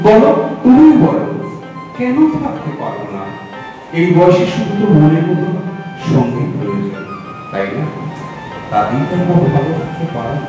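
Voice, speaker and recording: A man acting in an audio drama as an eager, romantic older gentleman, expressive and coaxing, hesitating and repeating himself. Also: fairly high pitch, dull audio. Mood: earnest, pleading. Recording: very echoey, distant, music behind. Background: background music.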